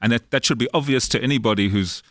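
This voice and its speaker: A man with a South African accent, a guest on a talk show, speaking in a measured, hesitant way with some fillers. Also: medium pitch, no echo, studio quality. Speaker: guest from the Jerusalem office. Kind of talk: studio talk show discussion.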